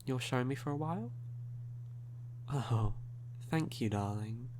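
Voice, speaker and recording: A man playing a suave, sly, hungry male vampire in an ASMR audio roleplay, speaking in a teasing, drawn-out manner. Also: mid-range voice, noisy background, lightly acted. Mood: charming, coy. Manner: slow, drawn-out, teasing, with breathy chuckles.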